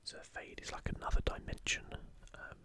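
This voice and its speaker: An ASMR host whispering softly in a breathy, unvoiced voice, giving unhurried, rambling commentary. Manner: hushed, unhurried, rambling asides.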